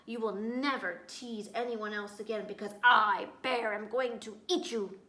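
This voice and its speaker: Woman doing a grumpy growling bear, storybook animal, loud, echoey room. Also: mid-range voice, normal speed, natural voice. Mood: menacing. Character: big grumpy male bear, menacing.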